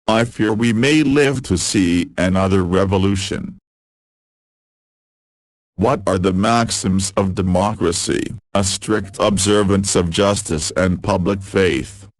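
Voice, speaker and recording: A man reading quotations as scripted narration, in a measured and formal delivery. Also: sits low, no echo.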